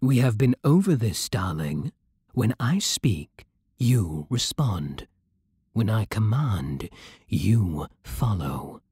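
Breathy, slow British vampire king, acted by a man. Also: lowish voice, sing-song, no echo, clean studio sound, full character voice. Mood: stern, possessive. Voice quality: soft, breathy, husky. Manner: slow, hypnotic, imperious endearments. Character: possessive, seductive, commanding male vampire king. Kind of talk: intimate ASMR roleplay.

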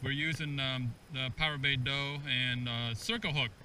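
A man's voice: mid-range voice, fairly even intonation, normal speed. A middle-aged man chatting casually on an outdoor fishing vlog, encouraging in short, repeated phrases. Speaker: father coaching his son while fishing. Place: outdoors on a reservoir bank. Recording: outdoors, distant mic, loud background noise.

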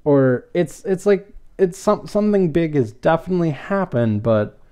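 A man's voice: fairly high pitch, very animated, normal speed, mellow, smooth. A man hosting a podcast, casually reviewing an episode in a rambling, hesitant but enthusiastic way. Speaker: podcast host.

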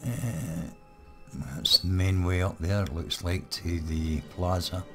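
A man, hoarse and croaky, giving relaxed live let's-play commentary full of ums. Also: sits low, slow pace, faint music behind. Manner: relaxed, rambling, many ums. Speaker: gaming channel host.

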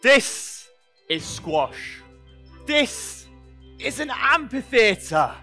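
Man acting a cocky, animated squash champion. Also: extremely high pitch, drawn-out words, soft background music, exaggerated voice. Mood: grand, combative. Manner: declamatory, cocky, emphatic. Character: boastful, tenacious male squash champion from Pakistan. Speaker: actor in a stage show about squash. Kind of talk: theatrical stage performance.

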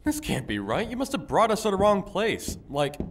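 A man voicing a brash, indignant, burly fighter in a comic dub, emphatic and excitable. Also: high voice, moderate pace, in a large hall, heavily acted. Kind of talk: scripted cartoon acting.

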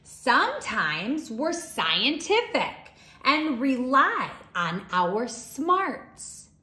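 A woman teaching young children, reading a storybook aloud in an expressive, deliberate way. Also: high-pitched. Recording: roomy, echoing indoor sound.

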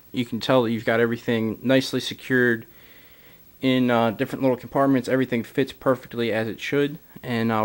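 A man's voice: mid-range voice, clean tone. A man giving a casual product walkthrough as a gear reviewer, filler-heavy, recorded indoors on a camera mic. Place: indoors, camera over a carpeted floor.

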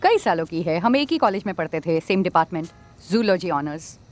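A woman's voice: fairly low pitch, expressive, brisk, soft background music, lightly acted. An actress playing a brash young woman who mocks her friend and bosses people around. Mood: matter-of-fact. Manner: taunting, blunt, mixes English phrases. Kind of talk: web series comedy acting.

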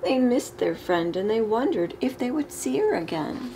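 A middle-aged woman reading a children's picture book aloud with expressive, emphasized storybook delivery. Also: on the high side, moderate pace, poor audio. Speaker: storytime reader. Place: living room, indoors. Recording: clear background room noise.

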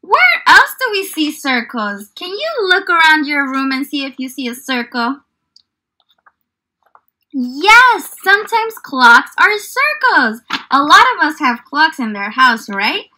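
A woman's voice: high voice, big pitch swings, slow, bright, forceful tone, a little hiss or hum. A young woman teaching small children in an encouraging, exaggerated child-directed way, full of questions. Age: young adult. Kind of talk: children's lesson and read-aloud. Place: small indoor room.

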